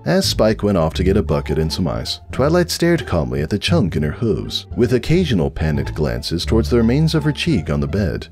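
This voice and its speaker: A man's voice: deep voice, huge pitch swings, brisk, few pauses, darkish tone, soft background music. A man reading a scripted comic story as narrator in a wry, dramatic way. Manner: wry, theatrical. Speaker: narrator, fanfiction reader.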